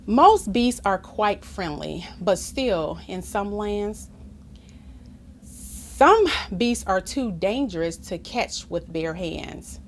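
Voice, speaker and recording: A woman reading a children's picture book aloud expressively, engaging listeners with questions and asides in an animated manner. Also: mid-range voice, slow, a little hiss or hum. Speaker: storytime reader.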